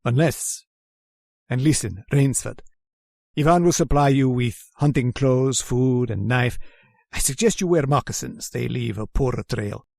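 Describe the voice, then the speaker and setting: An actor playing a genteel, sadistic general who hunts men, speaking with mocking, formal courtesy in an old-time radio drama style. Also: male voice, mid-range voice, a little slow, creaky, a little mellow, dry recording, clean studio sound. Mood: smug, menacing courtesy.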